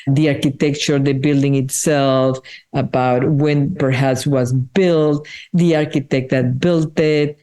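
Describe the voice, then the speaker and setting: Mellow-voiced woman. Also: deep voice. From Peru. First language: Spanish. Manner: thoughtful, earnest, often slows down to weigh her words. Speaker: artist being interviewed. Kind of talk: reflective interview answers.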